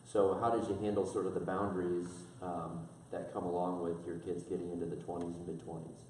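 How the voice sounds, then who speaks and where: A man in his thirties hosting the interview, asking measured questions and affirming his guests. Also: low voice, little pitch movement, a little mellow, slight background noise. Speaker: interviewer, podcast host. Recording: very echoey room from a distance.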